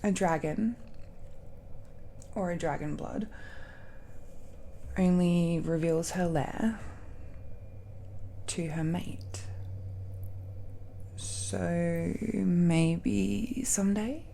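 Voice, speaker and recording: A woman's voice: fairly low pitch, gravelly, warm tone, slight background noise, heavily acted, poor audio. A woman playing an affectionate, teasing dragon-blooded girlfriend in an intimate romantic audio roleplay, speaking in a coy and playful way. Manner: slow, playful, giggly, coy.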